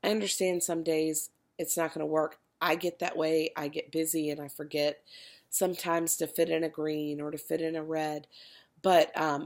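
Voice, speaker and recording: A woman coaching a fitness group in a casual video talk, her voice hoarse and cracking, chatty with many fillers and restarts. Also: low voice, a little mellow.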